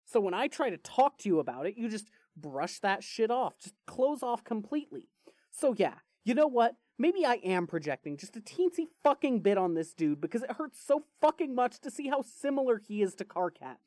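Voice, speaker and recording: A woman playing a grieving, foul-mouthed, defensive young man in an audio drama, with halting, vulnerable delivery. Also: a little high, sing-song, darkish tone, no echo. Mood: bitter, frustrated. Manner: halting, swearing, raw and vulnerable. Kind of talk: fan fiction audio drama acting.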